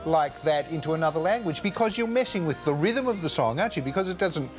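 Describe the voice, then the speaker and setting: A man's voice: a little high, expressive, fast, soft background music. A man hosting a morning TV show, asking a guest curious questions in a lightly teasing way. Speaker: TV host. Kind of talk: morning TV interview.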